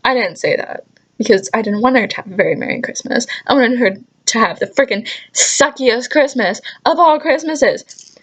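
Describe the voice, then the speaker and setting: A young adult woman telling casual, chatty stories in an animated, exasperated way, with sarcastic asides and mild swearing. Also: fairly high pitch, moderate pace, husky. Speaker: storytelling vlogger. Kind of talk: casual anecdote vlog, chatty.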